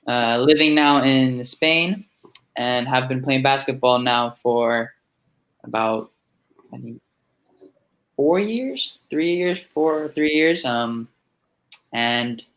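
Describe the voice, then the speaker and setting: A man's voice: medium pitch, fairly bright tone. A late-teenage young man from Finland introducing himself casually and hesitantly as a guest. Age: 17, late teens. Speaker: guest, young basketball player.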